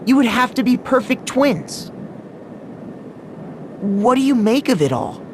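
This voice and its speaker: A sincere young companion from a video game. His delivery is gentle and careful, concerned and probing, with a clear rumble of noise behind him. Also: lively intonation, unhurried. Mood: probing, hushed. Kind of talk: English video game voice acting.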